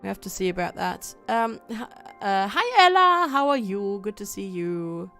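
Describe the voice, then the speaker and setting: A woman's voice: medium pitch, big pitch swings, moderate pace, fairly bright tone, soft background music. A young woman from Germany chatting casually with her viewers while playing a game on a livestream, reading out chat and laughing often. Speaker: streamer.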